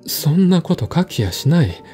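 A man voicing an agitated, indignant gentleman in a mystery radio drama, with emphatic, exasperated, old-fashioned educated phrasing. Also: fairly high pitch, very animated, moderate pace, voice pushed up. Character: agitated, indignant male host of a mystery gathering. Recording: music behind the voice.

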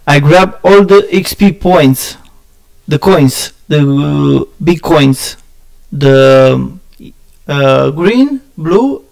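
A man from Romania with a Romanian accent chatting casually with viewers on a game stream, halting and searching for words, often laughing at himself. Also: fairly high pitch, vocal fry, poor audio. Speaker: gaming streamer.

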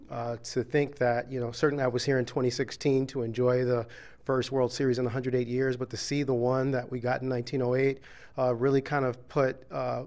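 A middle-aged man talks in a live TV interview in a hotel room, into a reporter's handheld mic. He answers relaxed and reflective, pausing with fillers, in a middle-pitched voice. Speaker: sports team executive interviewed. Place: hotel convention room, indoors.

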